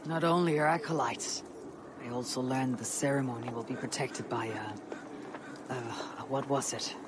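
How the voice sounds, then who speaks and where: A woman's voice: very deep voice, a little slow, noisy background. An actress playing a stoic female Viking warrior in a video game, terse and resolute. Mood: brusque. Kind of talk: video game cutscene acting.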